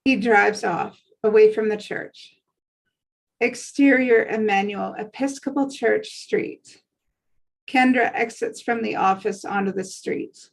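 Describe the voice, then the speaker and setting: A woman reading scripted stage directions in an even, deliberate narrating manner. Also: medium pitch, creaky.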